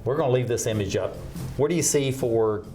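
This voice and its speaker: A man's voice: mid-range voice, brisk, music in the background. A man hosting a TV talk show, interviewing a guest with enthusiasm and frequent fillers.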